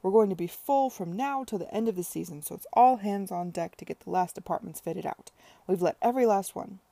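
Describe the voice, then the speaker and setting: A woman reading the part of a cheerful middle-aged man who bellows with excitement. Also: medium pitch, a little fast, creaky, dry recording. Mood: exuberant. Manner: bellowing, jubilant. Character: boisterous middle-aged man playing naval battles.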